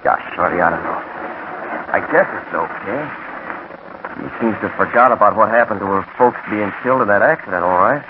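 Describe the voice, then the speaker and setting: An actor playing a young prizefighter and uneasy husband in a 1940s radio drama, plain-spoken and hesitant. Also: male voice, on the low side, fairly quick, close to an everyday voice. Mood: troubled, hesitant. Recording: noisy, narrow-band 1940s radio recording.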